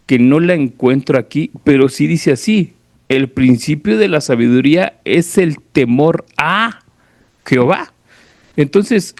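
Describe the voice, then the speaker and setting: A man's voice: medium pitch, creaky, dark tone, no echo. Mexican man preaching in a dry-sounding space. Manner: conversational, didactic, repeatedly checks that listeners follow. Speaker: preacher at a church service. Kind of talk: informal sermon with Bible reading.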